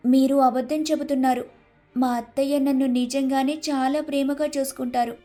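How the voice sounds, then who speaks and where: Trusting young daughter-in-law, played by a woman, high-pitched, respectful, storybook acting over faint music. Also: little pitch movement, normal speed, dark tone, close to an everyday voice. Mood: firmly defensive. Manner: earnest, respectful.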